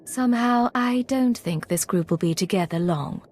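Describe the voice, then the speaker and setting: A woman's voice: medium pitch, moderate pace, darkish tone, breathy edge, close to an everyday voice. An actress playing a cool female secret agent in a video game, speaking in a curt, controlled, authoritative way. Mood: composed. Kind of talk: video game character acting.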